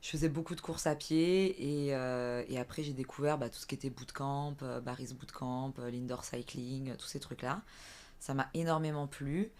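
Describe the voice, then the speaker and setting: A woman in her thirties from the Vendée in France, a fitness coach chatting as a podcast guest, often pausing with filler sounds. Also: a bass voice, fairly even intonation, darkish tone. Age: 30s. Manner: hesitant, many filler sounds and restarts. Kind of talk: casual interview chat.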